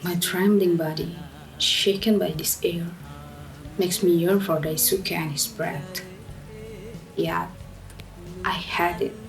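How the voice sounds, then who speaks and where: A woman's voice: lowish voice, fairly even intonation, faint music behind, poor audio. A woman playing a melancholic young woman haunted by her past, speaking a brooding, dramatic radio drama monologue. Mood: sorrowful, bitter. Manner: slow, brooding, dramatic delivery.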